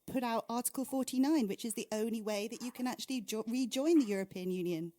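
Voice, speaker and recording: Woman radio host, mellow tone, higher voice, argumentative, pointed questions, cuts in. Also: quick pace. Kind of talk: radio interview, challenging the guest.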